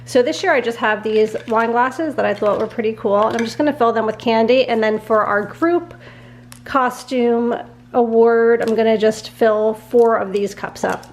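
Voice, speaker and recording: A middle-aged woman vlogging casually at home with a nasal, congested voice and a sore throat, chatty and quick to laugh. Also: a little high, a little hiss or hum. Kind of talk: casual chat to camera.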